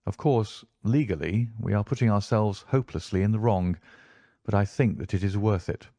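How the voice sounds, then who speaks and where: A man reading as a calm composed detective. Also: fairly low pitch, dry recording. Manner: measured and composed. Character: calm, reasoning male detective.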